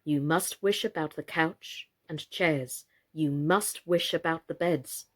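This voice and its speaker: A woman's voice: low voice, slow pace. A woman voicing a firm, insistent mother doll in a children's storybook.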